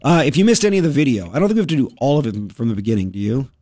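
A male radio host telling a story in casual talk-radio style, animated and full of quick asides. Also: medium pitch, no room sound, studio quality.

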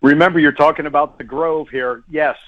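A narrow, phone-like connection carries a man's somewhat high voice. He is a sports broadcaster giving analysis as a guest on talk radio. His delivery is conversational and emphatic, often repeating a phrase to make the point. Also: fairly even intonation, brisk. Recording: tinny, phone-like guest line.

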